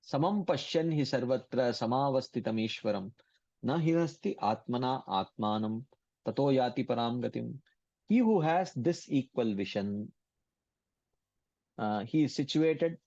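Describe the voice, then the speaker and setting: A man's voice: mid-range voice, lively intonation, mellow, no room sound. Man chanting verses on a dull, dry line. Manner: chants verses in Sanskrit, then explains them in English; halting, with many fillers and restarts. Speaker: scripture class leader. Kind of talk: online scripture study class. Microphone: computer mic on an online call. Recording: dull, dry lo-fi call audio.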